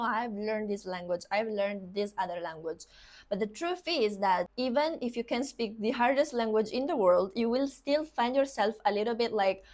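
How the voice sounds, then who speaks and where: A young woman who is a native Italian speaker, talking casually to camera about learning languages, chatty, saying "of course" and "like" often. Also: a little high. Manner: quick, chatty, repeats "of course" and "like".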